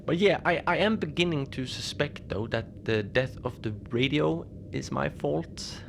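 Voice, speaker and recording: A man playing a mild, lonely Antarctic station manager in a cozy horror audio drama, speaking in a hesitant, understated deadpan as he logs his shift. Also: fairly high pitch, a little slow, creaky. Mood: confiding, wry. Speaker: narrator of a fiction podcast. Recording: noisy ambient bed behind the voice.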